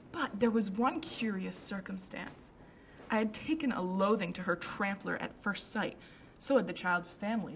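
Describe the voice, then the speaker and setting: A woman's voice: mid-range voice, gravelly, slight background noise, natural voice. A woman playing a well-known Victorian lady about town, recounting events dramatically in a radio drama. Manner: dramatic storytelling, emphatic.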